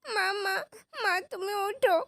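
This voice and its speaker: A woman's voice: very high-pitched, a little slow, pushed and bright, no room sound, studio quality, full character voice. A woman voicing a devoted, plucky little boy in a children's doll story, speaking in an earnest, pleading, childlike way. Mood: distressed.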